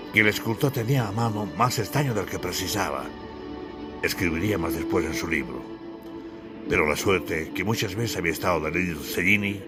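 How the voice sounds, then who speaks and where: A deep-voiced man narrates an audiobook. His delivery is solemn and literary, with a declamatory turn to his phrases. Music plays clearly behind him.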